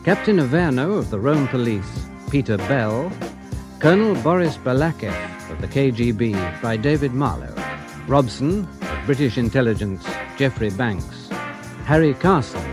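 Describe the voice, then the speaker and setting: A man reading scripted closing credits as a radio announcer, formal and measured. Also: mid-range voice, big pitch swings. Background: music.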